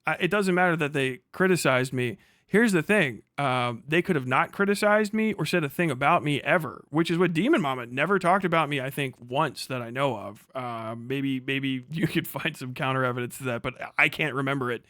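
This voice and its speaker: A man's voice: medium pitch, fairly quick, hardly any pauses, fairly bright tone, no room sound, clean studio sound. A man livestreaming combative, off-the-cuff reaction commentary, indignant and mocking, swearing heavily and repeating phrases for emphasis.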